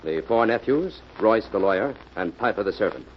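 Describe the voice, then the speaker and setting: An actor playing a shrewd, commanding detective in a 1940s radio mystery. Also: male voice, lowish voice, expressive, moderate pace, close to an everyday voice. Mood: measured, explaining. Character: Jim, shrewd, methodical, commanding male detective hero. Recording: noisy, muffled old-time radio recording.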